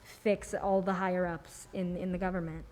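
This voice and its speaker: A woman's voice: on the low side, flat delivery, normal speed, darkish tone, a little hiss or hum. A young woman on a discussion panel, giving opinions in a casual, slangy way and voicing imagined quotes. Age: young adult. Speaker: youth podcast panelist.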